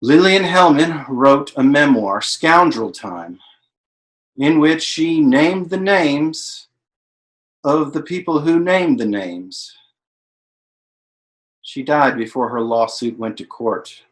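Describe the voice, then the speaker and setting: A man playing a thoughtful, learned narrator, measured and ruminative, in a staged-reading monologue. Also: on the high side, animated. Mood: reflective. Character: thoughtful, learned narrator reflecting on the Hollywood blacklist. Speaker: stage actor in a play reading.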